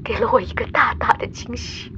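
A woman's voice: fairly high pitch, a little fast, vocal fry, dull audio, background noise. A woman playing a tender, emotional mother speaking heartfelt words to her child in a radio drama voice message. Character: tender, emotional astronaut mother addressing her young daughter.

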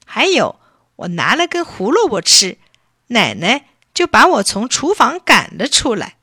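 A woman's voice: a little high, sing-song, a little slow, pushed and bright, breathy edge, no echo, studio quality, pitched above the performer's own voice, heavily acted. A woman voicing a hot-tempered, sulky little boy in a children's story, in an emphatic childlike manner. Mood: indignant, sulky.

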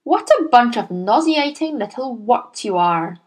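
A woman's voice: a little high, expressive, a little slow. A woman voicing a furious, bullying headmistress who barks and bellows her orders while reading a storybook aloud. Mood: disgusted. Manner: bellowing, contemptuous, barking orders.

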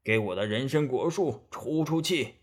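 A man's voice: medium pitch, lively intonation, normal speed, natural voice. A man voicing a stern, angry old Taoist immortal in a storybook, scolding and commanding. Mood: cold command.

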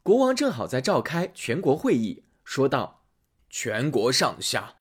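A man reading a fairy tale aloud as a storyteller, expressive and animated. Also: mid-range voice, a little slow, slightly rough.

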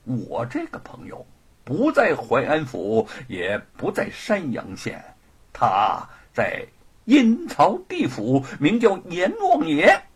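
Man as a haughty, husky condemned scholar. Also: mid-range voice, huge pitch swings, pitched below the performer's own voice, heavily acted. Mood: sly. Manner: haughty, emphatic, deliberate. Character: proud, defiant male condemned scholar, absorbed in writing.

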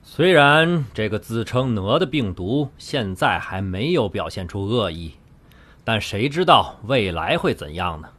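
A man's voice: lowish voice, big pitch swings, a little slow, husky, full character voice. A man playing a defiant, hawkish delegate who makes a declamatory speech in an audio drama. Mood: stern. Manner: declamatory, forceful oratory. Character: defiant, hawkish male Russian delegate urging war.

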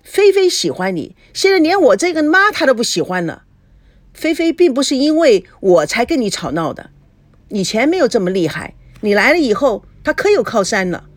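Woman acting a shrewish, jealous mother, lively swooping pitch. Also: fairly quick, no room sound. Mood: sour, accusing. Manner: scolding, coarse, sarcastic. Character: jealous, shrewish mother, foul-tempered and suspicious.

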